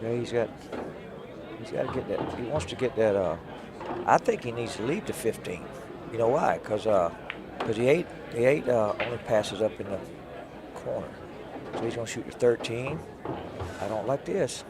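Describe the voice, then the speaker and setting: A man giving folksy, chatty color commentary, his voice slightly hoarse from cheering. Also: mid-range voice, lively intonation, moderate pace, very noisy. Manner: folksy, chatty, thinks aloud. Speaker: pool co-commentator.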